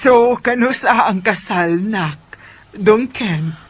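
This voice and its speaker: A woman's voice: medium pitch, takes it slowly, close to an everyday voice. An actress playing an eager, doting mother in a radio drama, with a Bisaya Filipino accent.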